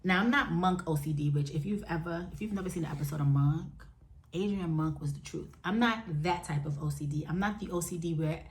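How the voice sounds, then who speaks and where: A woman in her forties chatting frankly to camera at home, addressing viewers as y'all and jumping up in pitch now and then. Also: a little fast, slight background noise. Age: 40s. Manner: lively, with sudden jumps up in pitch. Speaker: vlogger. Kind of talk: candid casual chat to camera.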